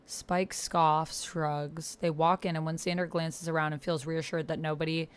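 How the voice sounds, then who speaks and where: Low-pitched woman chatting on a livestream. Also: little pitch movement, moderate pace, clean tone. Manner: chatty, muttering to self while typing. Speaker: host of a live tabletop roleplay stream. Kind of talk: casual livestream chat, reading typed posts aloud. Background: computer keyboard typing.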